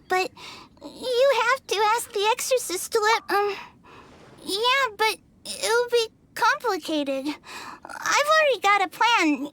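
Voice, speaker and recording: A woman's voice: very high voice, a bit bright, full character voice. A woman voicing a timid, tearful little ghost child in a video game, stammering and pleading hesitantly.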